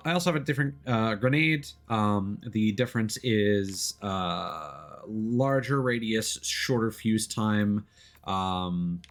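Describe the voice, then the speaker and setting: Male game streamer, lower-pitched voice, casual commentary, hesitant and pause-filled delivery. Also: fairly bright tone. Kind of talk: casual let's play commentary.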